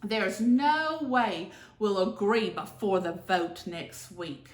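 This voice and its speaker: A woman's voice: medium pitch, lively intonation, slow. A woman reading a storybook voices a thoughtful, outspoken young schoolgirl with emphatic expression. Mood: resigned. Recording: echoey room.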